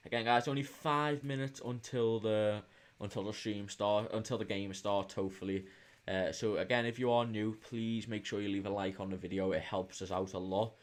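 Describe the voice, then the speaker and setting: Low-voiced Liverpool man hosting a livestream. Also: fairly even intonation, normal speed. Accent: Liverpool English. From England, Liverpool. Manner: hesitant, informal, reading out viewer comments. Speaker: livestream host. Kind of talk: casual live chat with viewers, football watch-along.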